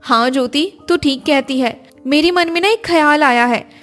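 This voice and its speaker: A woman voicing a sensible, kind elder sister-in-law in a family cartoon, gentle and reassuring. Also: sits high, moderate pace, faint music behind.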